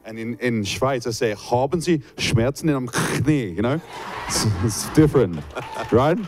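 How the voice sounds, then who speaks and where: A man's voice: mid-range voice, lively intonation, a little slow, noisy background. A man with an Australian accent, a native English speaker, preaching informally as a guest in short phrases at a church service. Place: church service over a microphone. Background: soft worship music.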